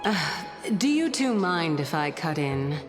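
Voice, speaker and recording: An actress playing an enigmatic female Titan in a video game, measured and coolly probing. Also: low-pitched, big pitch swings, over music.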